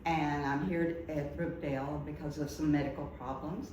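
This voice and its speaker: A woman in her seventies, a retired teacher, telling lively stories about life in a senior community. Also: sits low, moderate pace, a little hiss or hum. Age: 70s. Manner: lively anecdotal storyteller. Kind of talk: casual storytelling. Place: room in a senior living community. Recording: echoey room.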